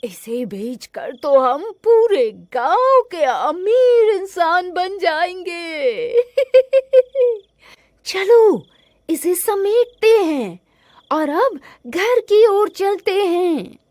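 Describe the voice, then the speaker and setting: A woman voicing a good-natured, easily satisfied male villager in a cartoon story, drawling and expressive. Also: very high-pitched, drawn-out words, no echo, clean studio sound, pitched above the performer's own voice, heavily acted. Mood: overjoyed.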